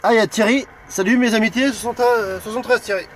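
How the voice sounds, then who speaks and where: A man from France with a high-pitched voice talks as an amateur radio operator. He moves between call signs and casual chat, friendly and a little hesitant. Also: steady tone, quick pace, slight background noise.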